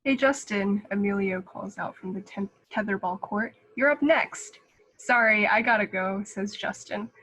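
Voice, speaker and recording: A woman's voice: medium pitch, unhurried, a bit bright, breathy edge, rough recording. A woman reading a children's picture book aloud. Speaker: read-aloud reader.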